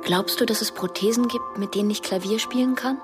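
A woman's voice: a little high, brisk, natural voice. An actress playing a vulnerable, hopeful woman in a radio drama, with a tentative, pleading way of speaking. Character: vulnerable, hopeful female amputee pianist, tentative. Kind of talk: German radio drama acting. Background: music.

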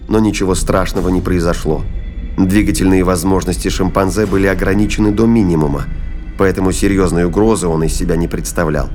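A male narrator reads a scripted audio drama. His voice sits low in pitch, and quiet music plays beneath it.

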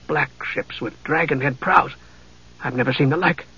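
A man reading an audiobook, voicing an awed harbor watchman who speaks in a hush. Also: on the high side, normal speed, breathy edge, heavily acted. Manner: hushed, counting. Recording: dull lo-fi dry recording.

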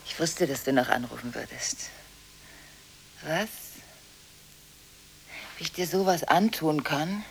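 Radio play casting: emotionally contradictory wife on the telephone, lowish female voice, breathy and abruptly changeable, on a slightly hissy eighties recording. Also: expressive, slow, long pauses, heavily acted. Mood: defensive. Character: volatile, emotionally contradictory married woman on frantic phone calls. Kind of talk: 1980s German radio drama monologue.

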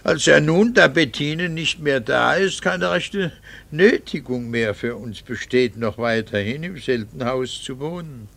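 An actor playing a cool, bitter middle-aged doctor and husband in a radio drama, speaking formally with dry irony. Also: male voice, medium pitch, vocal fry, fairly bright tone. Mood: hesitant, probing. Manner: formal, measured, dryly ironic. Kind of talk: 1960s German radio drama acting.

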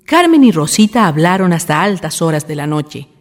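A woman's voice: lowish voice, big pitch swings, normal speed. A woman with a Chilean accent reading scripted narration for a radio drama. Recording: music bed behind narration.

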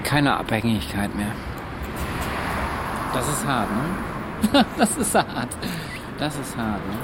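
A man's voice: mid-range voice, big pitch swings, slow pace. A man chatting casually as an interviewed passer-by outdoors on a city street. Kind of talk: casual hesitant street chat. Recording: very noisy street recording with traffic.

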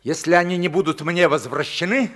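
A man's voice: a little high, fairly quick, a bit bright, lightly acted. A man acting in a radio play as a domineering, wealthy older father who questions his household in a commanding, clipped way. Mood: urgent, insistent.

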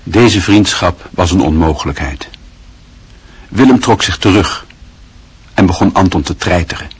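A man narrating a literary radio drama in a measured, even storytelling manner. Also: low-pitched, husky.

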